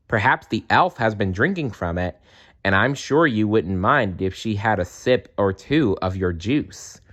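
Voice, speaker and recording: Slow, animated male storybook reader. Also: on the low side. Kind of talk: expressive children's story read-aloud.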